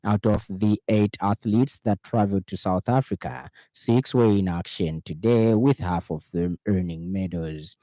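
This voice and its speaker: Male radio news anchor, Zambian accent, low mellow register, formal scripted delivery. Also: a little slow, hardly any pauses, no echo.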